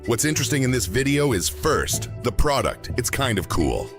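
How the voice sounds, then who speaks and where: A man's voice: medium pitch, big pitch swings, normal speed, music in the background. A man narrating a reaction video in a wry storytelling manner. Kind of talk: scripted narration.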